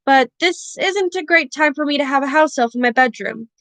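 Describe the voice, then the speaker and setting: A woman voicing a nervous, polite teenage boy wizard who speaks in hushed, anxious tones. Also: sits high, expressive, normal speed, no echo.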